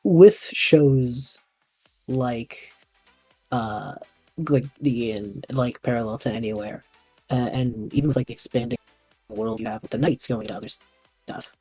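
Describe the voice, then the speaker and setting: A man hosting a casual podcast interview, thinking aloud in a halting way and rephrasing his questions as he goes. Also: mid-range voice, warm tone, breathy edge, no room sound, faint music behind, low-quality recording. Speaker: podcast host interviewing a guest. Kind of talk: casual podcast chat about tabletop roleplaying shows.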